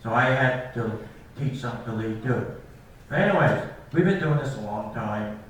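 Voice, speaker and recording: A man's voice: mid-range voice, normal speed. An older man, a cattle farmer, giving a rambling, folksy talk to an audience at a meeting. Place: large meeting hall. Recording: echoey hall from far off, some noise.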